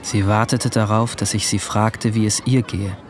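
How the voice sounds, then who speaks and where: A man's voice: on the low side, steady tone, normal speed, noisy background, natural voice. A man playing a troubled, brooding seventeen-year-old boy who narrates his own story introspectively in a radio drama. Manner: introspective, literary. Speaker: narrator and lead character.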